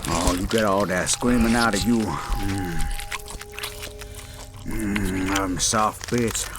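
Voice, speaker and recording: A man voicing a villainous werewolf with a Cajun accent in a horror audio roleplay, sadistic and gloating, savoring his prey with drawn-out murmurs. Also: on the low side, loud music behind the voice.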